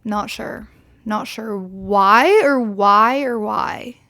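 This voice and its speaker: A woman's voice: medium pitch, very slow, bright, forceful tone. A woman in her twenties, slightly tipsy, rambling candidly through a casual solo podcast with lots of fillers and swearing. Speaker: podcast host.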